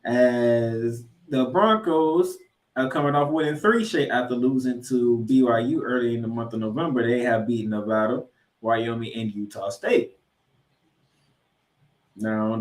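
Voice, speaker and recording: A man co-hosting a sports podcast, previewing games and picks with drawn-out, emphatic announcer-style introductions. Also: medium pitch. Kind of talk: college football game previews and picks. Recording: roomy, echoey sound.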